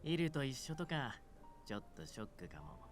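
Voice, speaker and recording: A man's voice: mid-range voice, sing-song, very fast, faint music behind, close to an everyday voice. A voice actor playing an easygoing, teasing demon king in a Japanese visual novel, with a breezy, casual manner. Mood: playful teasing.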